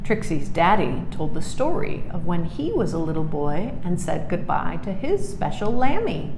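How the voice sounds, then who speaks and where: A woman's voice: on the low side, very animated, moderate pace. A woman reading a children's picture book aloud expressively, adding little explanations and reactions for young listeners. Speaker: storybook reader. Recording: roomy echo, clearly noisy background.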